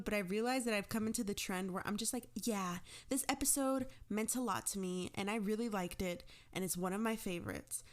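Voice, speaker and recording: A woman hosting her audio drama podcast, chatting casually to listeners in a chirpy, informal, self-deprecating way. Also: mid-range voice. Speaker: podcast host and show creator.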